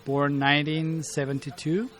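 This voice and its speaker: A man in his fifties from Bulgaria, speaking English with a Bulgarian accent as a martial arts teacher being interviewed, pausing often to search for words. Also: fairly high pitch, little pitch movement, a bit bright, soft background music. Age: 50s. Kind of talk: interview storytelling.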